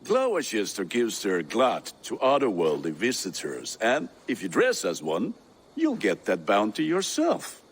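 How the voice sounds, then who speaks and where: A grumbly old viking warrior, proud n playful, slow talker, swings up and down, game cutscene. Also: male voice, lowish voice, wildly swooping pitch, husky, full character voice. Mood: mischievous. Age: older. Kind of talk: dramatic game cutscene acting. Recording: faint outdoor ambience.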